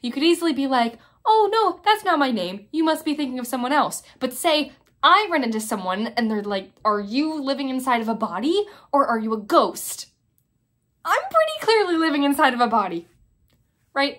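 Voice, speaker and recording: Woman acting high-voiced, outspoken teenage girl. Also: animated, fairly bright tone. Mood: nervous, wry. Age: young adult. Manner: halting, conversational, with many likes and restarts. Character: outspoken teenage girl, wry, indignant, nervously defiant. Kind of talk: acted stage monologue, conversational. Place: small plain room indoors.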